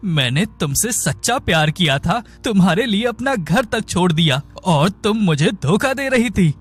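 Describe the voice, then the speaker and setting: A man voicing an earnest, jealous young husband in an animated moral story, with dramatic delivery. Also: high voice, normal speed, slightly rough, faint music behind. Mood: betrayed, angry.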